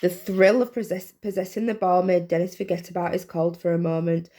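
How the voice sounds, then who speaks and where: A woman's voice: on the low side, little pitch movement, brisk, dark tone. A woman reading a children's storybook aloud as narrator. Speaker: reader, teacher.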